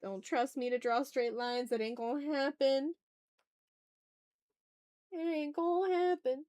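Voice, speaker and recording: A woman with a fairly high voice hosts a casual drawing livestream. She talks informally, half to herself and half to viewers, in slangy, playfully dramatic asides. The sound is very dry, with no room echo. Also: fairly even intonation, a little slow, a little mellow. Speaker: art livestreamer, host.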